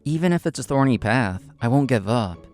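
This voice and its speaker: A man's voice: medium pitch, expressive, brisk, darkish tone, soft background music, close to an everyday voice. A man playing a proud, competitive young consultant in a manga drama, intense and curt, narrating and speaking his lines. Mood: determined. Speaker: narrator and main character.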